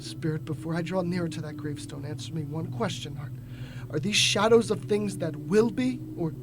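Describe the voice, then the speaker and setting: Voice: medium pitch, brisk, background noise, close to an everyday voice. A young adult performer playing an old repentant miser in a radio drama, theatrical and stammering. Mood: fearful, mournful.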